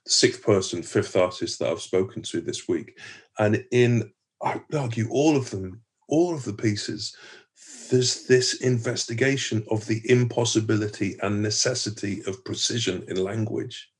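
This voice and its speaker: A man's voice: lowish voice, moderate pace. A man hosting a podcast interview, asking long winding questions with enthusiasm and laughter.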